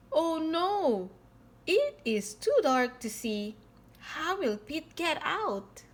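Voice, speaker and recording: A woman reading a picture book aloud to young children in an expressive style, with theatrical emphasis on key words. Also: high-pitched, extremely slow. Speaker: children's storybook reader.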